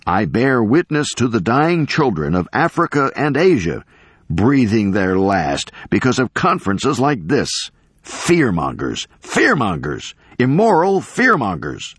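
An indignant old professor, acted out by a male narrator, declaims with pompous emphasis. His pitch leaps up and down as he rants like a storybook crank. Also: sing-song, normal speed, breathy edge, no room sound. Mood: ranting, furious. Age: older. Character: ranting, unkempt, indignant elderly male professor.

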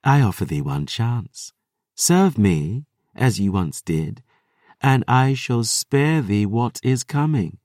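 A man's voice: mid-range voice, very animated, takes it slowly, darkish tone, no echo, clean studio sound. A man doing a sneering archaic vampire woman. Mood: coldly bargaining. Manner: sneering old-fashioned speech, thee and ye. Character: cruel archaic female vampire, menacing.

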